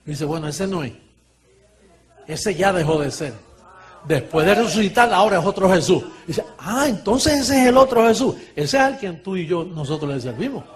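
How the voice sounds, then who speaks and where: A man preaching a sermon in an animated way, swinging from quiet asides to shouting and laughing often as he talks. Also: high-pitched, moderate pace.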